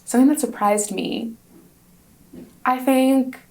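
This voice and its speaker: A woman's voice: sits high, fairly even intonation, takes it slowly, creaky, darkish tone, low-quality recording. Student guest, woman in her twenties, native Hungarian speaker; upbeat, chatty answers with restarts. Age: 20s. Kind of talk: casual interview answers.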